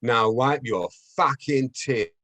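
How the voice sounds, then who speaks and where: A man's voice: mid-range voice, slow, bright, forceful tone, dry recording. Man acting a menacing gangster pimp, close and dry. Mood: cold, bullying. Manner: aggressive, intimidating, interrogating. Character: angry, menacing male gangster pimp, foul-mouthed and bullying.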